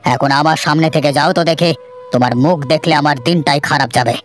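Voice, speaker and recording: A man's voice: a little high, fast talker, close to an everyday voice. A man voicing a bitter cartoon father who blames his wife in a harsh, scornful, accusing way over background music. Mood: scornful anger. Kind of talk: cartoon melodrama dialogue.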